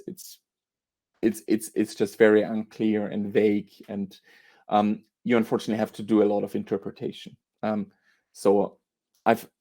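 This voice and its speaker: A man with a low, mellow voice answers interview questions. He talks in a flat, even tone. He halts often, repeating words and filling gaps with ums. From Austria. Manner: hesitant, many fillers and repeated words. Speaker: beer author and historian, guest.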